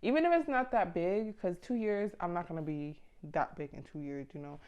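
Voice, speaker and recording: A woman's voice: lowish voice, moderate pace, a little mellow, clean tone, highs cut off. A woman in her mid twenties chatting casually and motivationally to camera, with a blunt, informal, slangy manner. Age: mid 20s. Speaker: vlogger giving self-improvement advice.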